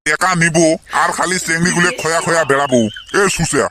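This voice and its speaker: Grumpy stingy village dad, acted by a man, older, scolding, slightly high pitch, northern Bengal accent, faint noise. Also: steady tone, brisk, lightly acted. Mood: angry, scolding. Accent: northern Bengal (Cooch Behar region). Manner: gruff, scolding, coarse-mouthed. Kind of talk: comedy cartoon voice acting in rural dialect.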